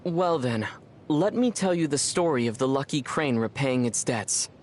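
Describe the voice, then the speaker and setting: A man voicing a calm, wry young adventurer in scripted game dialogue, speaking in a measured, articulate way. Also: medium pitch, a little hiss or hum, close to an everyday voice. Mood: storytelling.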